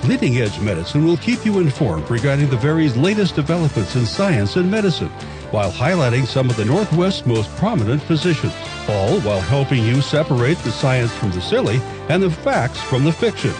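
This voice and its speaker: A man's voice: mid-range voice, sing-song, a little fast. A male announcer reading a scripted radio show intro in a polished, promotional delivery. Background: music bed.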